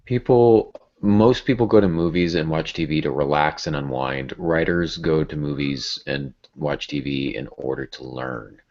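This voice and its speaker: A man chatting informally as a podcast host, getting animated when he illustrates a point. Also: extremely low pitch, a little slow, hardly any pauses. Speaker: podcast host and writing teacher. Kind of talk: casual podcast discussion. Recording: dull lo-fi podcast audio.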